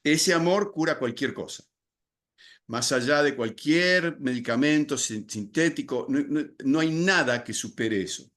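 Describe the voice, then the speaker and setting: A man's voice: a little high, a little slow, a bit bright. An older man from Argentina with an Argentine accent, speaking as a podcast guest. He explains his ideas in a reflective way, using fillers and restarting his sentences. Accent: Argentine Spanish. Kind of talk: podcast interview.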